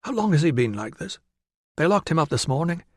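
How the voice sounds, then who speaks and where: A worried young wife, earnest and anxious yet firm in her words, as a male narrator puts her on. The reader lifts his pitch clearly to play her, in a close, dry recording. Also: big pitch swings, a little fast, no echo.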